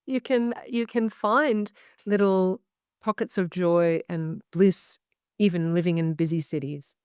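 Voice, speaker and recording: A woman's voice: fairly low pitch, steady tone, measured pace, a little mellow, clear, resonant tone, no echo, studio quality. A woman with a Canadian accent talking earnestly and reflectively as a guest on a video call interview. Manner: earnest, reflective, speaks in long searching phrases. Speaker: guest, medical intuitive. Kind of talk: casual interview talk.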